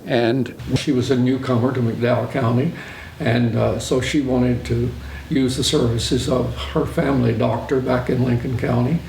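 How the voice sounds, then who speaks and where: An elderly North Carolina man recounts where he was born, explaining each detail with care and the odd "uh". His voice is gravelly, and the room around him is echoey with a faint hiss. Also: medium pitch, fairly even intonation, moderate pace, a little mellow. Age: 80s. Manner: careful, explanatory, with fillers. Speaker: interviewee. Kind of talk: oral history interview.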